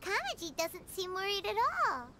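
A woman voicing a tiny, chatty female fairy companion in a game, with a high squeaky childlike voice. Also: sing-song, normal speed, sharp tone, slight background noise, exaggerated voice. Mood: cheerful. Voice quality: high squeaky childlike voice, talks of herself by name. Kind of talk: game voice acting.

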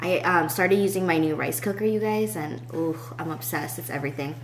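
A woman's voice: deep voice, brisk, slight background noise. A young adult woman with an American accent chatting casually to camera as a vlogger, rambling and informal. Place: at a table in an open-plan house.

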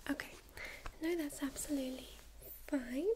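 High, gently whispering woman. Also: moderate pace, slight background noise. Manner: soft, gentle, reassuring whisper with calm step-by-step instructions. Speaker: ASMR creator playing a first-aid medic. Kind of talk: whispered ASMR medical roleplay.

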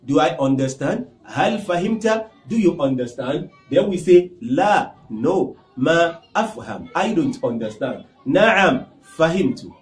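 Nigerian guy teaching a language lesson, kinda high voice, repeats stuff a lot, roomy echo. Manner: lively, repeats phrases, gestures and smiles. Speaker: Arabic teacher. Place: small indoor studio with whiteboard.

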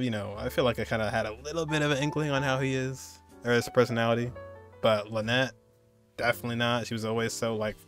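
Young man, twenties, casual reaction commentary, conversational and slangy, medium pitch, faint music behind. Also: fairly bright tone. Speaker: reaction video host.

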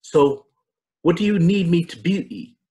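A man voicing a gentle, protective flying creature with a reassuring manner. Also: fairly high pitch, lively intonation, unhurried, soft background music, voice pushed up. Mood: gentle, inquiring.

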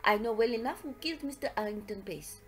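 A woman's voice: on the high side, lively intonation, fast talker, soft background music, close to an everyday voice. A woman voicing a confident, middle-aged male detective, precise and affectionate, in an audiobook story. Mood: self-satisfied. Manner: precise, self-satisfied, foreign turns of phrase.

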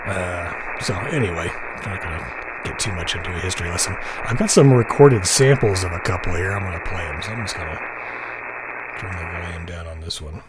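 A man's voice: low voice, brisk. A middle-aged American man rambling casually and thinking aloud as he hosts a hobby vlog. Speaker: host, amateur radio hobbyist. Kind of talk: casual screen-share vlog. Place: room at home. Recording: very noisy, radio static behind.

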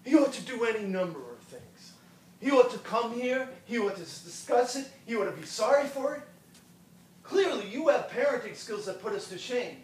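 A man's voice: very high-pitched, lively intonation, normal speed, a little hiss or hum. An actor playing a dismissive, sarcastic middle-aged lawyer and father on a theater stage. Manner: curt, sarcastic. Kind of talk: stage play acting. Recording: roomy stage sound from a distance.

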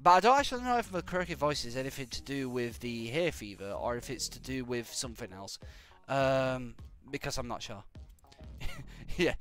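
A young man with a British accent and a croaky voice from hay fever, chatting to viewers in a rambling, jokey way while he plays a game live. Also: medium pitch, animated, normal speed, fairly bright tone, soft background music. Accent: British English. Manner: rambling and jokey, hums nonsense syllables. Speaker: game streamer.

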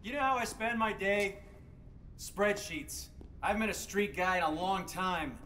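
A man's voice: high-pitched, moderate pace, bright, forceful tone, slight background noise. A man playing a weary, defensive criminal who now keeps the books, in a crime game. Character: weary, defensive male criminal turned bookkeeper. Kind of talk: cinematic crime game acting.

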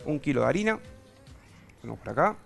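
A man's voice: a little high, very animated, quick pace, gravelly, soft background music. A man in his thirties with an Argentine accent giving a casual cooking demonstration as a guest baker. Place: TV studio kitchen.

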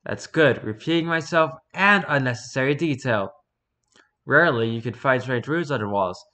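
Male streamer, mid-pitched and bright, casual wry read-aloud, slightly stumbling. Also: moderate pace. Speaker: streamer reading and reacting to wiki pages.

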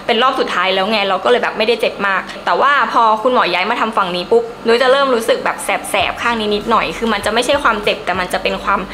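A woman's voice: fairly high pitch, very fast, few pauses, sharp tone, soft background music. A young woman gives a casual, enthusiastic review to camera in a chatty, emphatic way. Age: young adult. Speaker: beauty vlogger.

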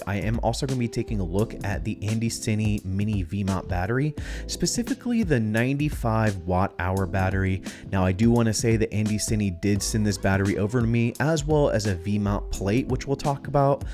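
Congested nasal young man with low voice. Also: lively intonation, brisk, a little mellow, soft background music. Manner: casual, chatty gear talk. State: congested, stuffed up. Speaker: filmmaking channel host. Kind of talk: gear review vlog. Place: dim home studio. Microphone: studio mic on boom arm.

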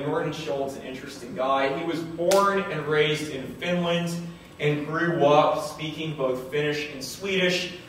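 A middle-aged man lectures formally in a big, very echoey auditorium, heard from far off with faint noise behind him. His voice sits a little high and he moves slowly and deliberately through scripted academic prose. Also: fairly bright tone. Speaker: history professor. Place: large indoor auditorium, at a podium on stage.

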